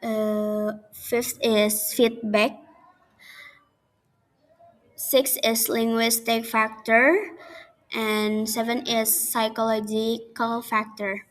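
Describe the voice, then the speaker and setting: A young woman with an Indonesian accent giving a class presentation in halting non-native English, restarting her sentences. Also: on the high side, little pitch movement. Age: young adult. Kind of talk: class presentation on speaking skills.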